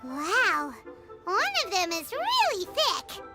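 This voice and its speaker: A tiny, bossy fairy companion chirps in a very high, wildly swooping squeak. She stretches her words out slowly. A loud game soundtrack plays under her. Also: huge pitch swings, loud music behind the voice, full character voice. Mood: amazed. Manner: squeaky, sing-song and indignant. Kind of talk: video game voice acting.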